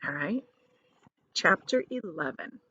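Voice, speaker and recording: A woman teacher reading a children's storybook aloud expressively, pausing to explain things in simple, casual words. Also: fairly low pitch, animated, sharp tone, husky, dry recording, highs cut off.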